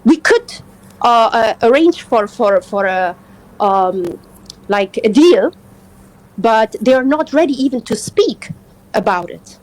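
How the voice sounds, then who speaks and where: High-voiced woman, native Persian speaker. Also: expressive, low-quality recording. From Afghanistan. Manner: earnest, halting, searching for words. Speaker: interview guest. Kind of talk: live political discussion.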